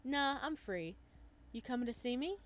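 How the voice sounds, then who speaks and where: A woman's voice: on the high side, lively intonation, normal speed, voice pushed up, natural voice. A woman voicing an easygoing, caring young friend with a casual, breezy manner in a visual novel. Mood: friendly, casual.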